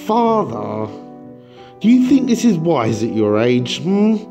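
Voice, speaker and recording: A man's voice: on the high side, big pitch swings, slow, a little mellow, full character voice. A man playing an anxious, questioning son in a comic-book drama, speaking tentatively. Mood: hesitant, questioning. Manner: hesitant, tentative. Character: anxious, questioning adult son of a domineering father. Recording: music behind the voice.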